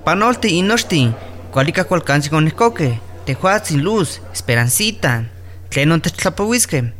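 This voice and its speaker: High-voiced male doctor, patiently instructing. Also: very animated, normal speed, fairly bright tone. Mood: instructive. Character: male doctor patiently advising village mothers. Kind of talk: scripted radio drama in Nahuatl.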